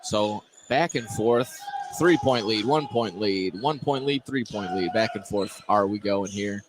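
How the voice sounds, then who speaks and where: A man's voice: on the low side, a little slow. Man calling basketball over gym noise. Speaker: play-by-play sports announcer. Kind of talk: live basketball radio commentary. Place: indoor gymnasium during a game. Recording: noisy gym broadcast, bouncing ball. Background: game noise, basketball bouncing.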